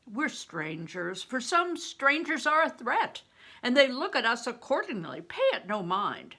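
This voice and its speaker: A woman's voice: on the high side, very animated, unhurried, sharp tone, voice pushed up, exaggerated voice. An older woman voicing a hearty, worldly male mummer from a storybook, giving fatherly advice emphatically. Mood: brisk, dismissive.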